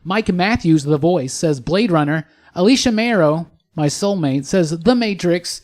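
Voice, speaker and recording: A man's voice: sits high, expressive, unhurried, a little mellow. A man co-hosting a podcast in casual chat, with a playful, teasing manner. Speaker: podcast co-host.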